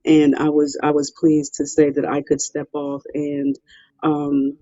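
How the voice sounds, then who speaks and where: A woman's voice: sits low, completely flat delivery, a little slow, warm tone, no room sound, highs cut off. A middle-aged woman from Philadelphia, a real estate investor, answering interview questions in an emphatic, self-assured way, often repeating words. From Philadelphia, Pennsylvania.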